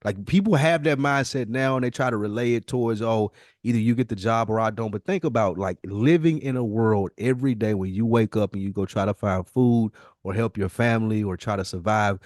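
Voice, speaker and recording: A man's voice: lowish voice, brisk, a little mellow, no echo. Blunt American man in a dry room. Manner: blunt, emphatic, slangy and profane. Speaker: podcast co-host. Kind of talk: casual podcast chat.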